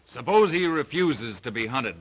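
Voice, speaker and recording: An actor playing a principled, indignant hunter held captive, forceful and in an old 1930s melodrama style. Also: male voice, medium pitch, expressive, normal speed, slightly rough, highs cut off. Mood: appalled. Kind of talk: 1930s melodrama film acting.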